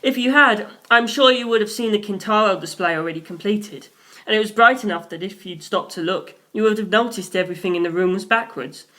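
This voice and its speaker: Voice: medium pitch, fairly quick, sharp tone, natural voice. A narrator voicing a polite young male detective who explains his deductions formally and methodically. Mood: confident explaining.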